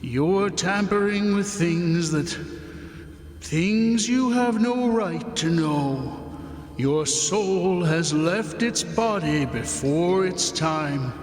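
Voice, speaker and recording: An actor playing a dead man's solemn, forgiving ghost in an old-time radio play, with a drawn-out, sing-song delivery. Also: sits high. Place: on stage in a hall. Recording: echoey stage hall with music behind.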